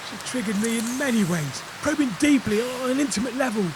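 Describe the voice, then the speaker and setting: An earnest British man, played by an actor in a radio comedy drama, hapless and a bit defensive. He seems oblivious as he talks, and clear rain and hiss run behind his voice. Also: extremely high pitch, expressive, a little fast. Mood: earnest, sheepish. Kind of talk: naturalistic audio comedy drama.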